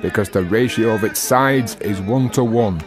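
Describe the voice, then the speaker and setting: An actor playing an earnest working-class Victorian Freemason in a radio drama, holding forth in high-flown, archaic, declamatory phrases. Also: male voice, normal speed, natural voice. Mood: rapt. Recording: music under the voice.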